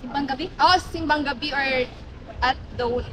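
A woman's voice: high-pitched, steady tone, normal speed. A young woman with a Filipino accent, an education student, chatting and joking through a street interview. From Philippines. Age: young adult. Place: outdoors on plaza steps. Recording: noisy outdoor street.

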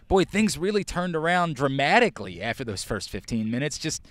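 A young man interviewing a coach after a match, asking brisk, friendly questions outdoors on a field into a handheld microphone. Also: on the high side, big pitch swings, no room sound. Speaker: sports interviewer. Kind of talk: postgame interview. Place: outdoors on a grass soccer field. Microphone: handheld mic.

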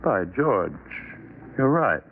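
A man's voice: on the low side, sing-song, slow, full character voice. A man playing a domineering, irritable and obsessive rose-breeder in an old radio drama, curt and scolding. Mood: scornful. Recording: tinny, noisy vintage radio broadcast.

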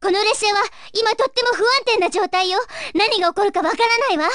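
An actress playing a gentle, earnest young fairy guide of a dream world in a video game, with a wistful, pleading manner. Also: female voice, extremely high pitch, a little fast, no echo, studio quality. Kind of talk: Japanese video game story acting.

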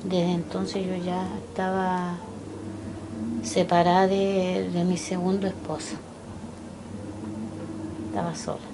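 An older Chilean woman recalling her life slowly and haltingly, pausing often to remember. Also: lowish voice, little pitch movement, very noisy. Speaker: interviewee. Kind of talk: personal recollection. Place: indoors, small room by a window.

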